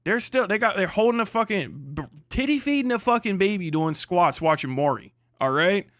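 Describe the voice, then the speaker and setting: A man's voice: high voice, sing-song, normal speed, fairly bright tone, no room sound, clean studio sound. A man on a casual livestream rant, blunt and hectoring, swearing heavily as he speaks. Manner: mocks others in put-on voices. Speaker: livestream host.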